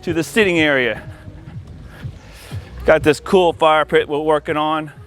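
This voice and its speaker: A man's voice: on the high side, steady tone, measured pace, a bit bright. A man giving an informal, conversational product showcase outdoors in a backyard, addressing viewers casually as an installer. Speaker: audio-video installer presenting for company channel. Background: music.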